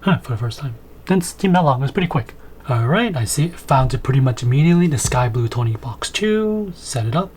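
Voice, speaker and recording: A man's voice: mid-range voice, lively intonation, a little fast, a little mellow, husky. A man in his thirties giving casual, conversational unboxing and setup commentary as he thinks aloud in a room at home. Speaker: video host, product reviewer. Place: small home room, indoors.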